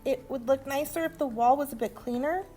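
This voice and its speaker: A woman's voice: high-pitched, brisk, dark tone, pitched above the performer's own voice, natural voice. A woman voicing a shy, modest young boy pig in a storybook, hesitant and polite.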